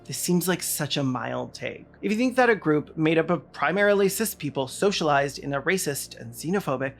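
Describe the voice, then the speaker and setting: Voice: medium pitch, animated, a little fast, vocal fry, faint music behind. A video essayist delivering scripted political commentary in an emphatic, sardonic way.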